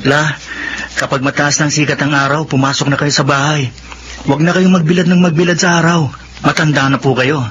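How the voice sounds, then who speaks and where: A man playing a caring, playful young college student who gently nags, in a Filipino radio drama. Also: on the high side, steady tone, a little fast, lightly acted. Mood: caring, nagging. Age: young adult. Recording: muffled, with noisy background.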